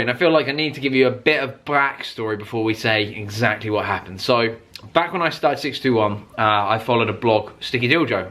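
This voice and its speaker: British male car vlogger, bright punchy voice, casual rambling storyteller, keen, slangy and sweary. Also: fairly low pitch.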